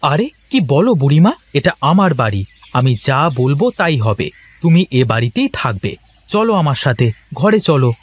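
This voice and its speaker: An earnest, kind-hearted poor husband from a cartoon village tale. His voice is husky and fairly high, with lively, cajoling delivery. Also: moderate pace. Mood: firm. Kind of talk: Bengali moral-story cartoon dubbing.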